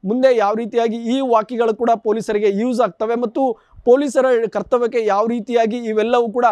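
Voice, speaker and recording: A man giving an unscripted TV news report in a halting, repetitive way with formal phrasing. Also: very high-pitched, steady tone, a little mellow, no echo.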